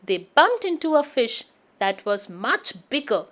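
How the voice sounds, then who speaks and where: A woman reading a picture story aloud to children in an expressive, animated storyteller's way. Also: a little high, unhurried. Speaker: children's read-aloud host.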